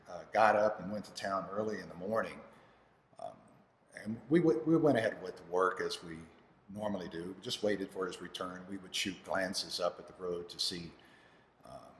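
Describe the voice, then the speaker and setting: An actor, a man, playing an earnest, troubled farmer father telling his story in a halting dramatic monologue. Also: deep voice, a little hiss or hum, natural voice. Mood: reflective. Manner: halting, with dramatic pauses and restarts. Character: anguished, earnest farmer father of two sons. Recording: roomy, echoing hall with long reverb.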